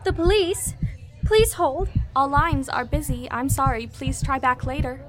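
Female actor as flustered 1930s telephone operator in radio drama; high voice over music, clipped, urgent, polite. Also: unhurried. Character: harried young female switchboard operators, polite but flustered. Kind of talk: radio play acting, 1930s period drama.

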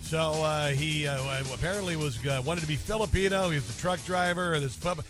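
A man's voice: on the high side, brisk, music in the background. A man hosting a talk radio show, rambling and sarcastic with lots of fillers. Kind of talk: casual talk radio monologue.

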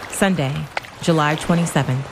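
A woman's voice: low voice, moderate pace, background noise. A woman narrating a documentary drama as its guide, reading from a script.

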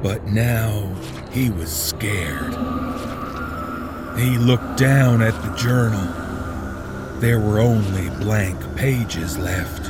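A man giving slow, dramatic, scripted horror narration for a podcast. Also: fairly low pitch. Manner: slow, deliberate, dramatic delivery. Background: music.

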